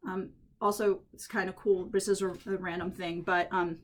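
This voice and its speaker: A woman at home, a little croaky from allergies, chatting through a head-wrap tutorial and thinking aloud as she works. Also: fairly low pitch, level tone, moderate pace. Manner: chatty, thinks aloud while working, frequent restarts. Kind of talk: casual hands-on tutorial.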